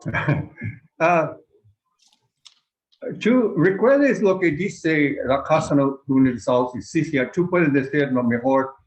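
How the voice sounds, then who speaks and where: A man's voice: on the high side, animated, takes it slowly, long pauses, rough recording. A man in an online study group, speaking hesitantly in a language he calls himself a beginner in, often searching for words. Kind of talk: casual online group discussion. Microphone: video call.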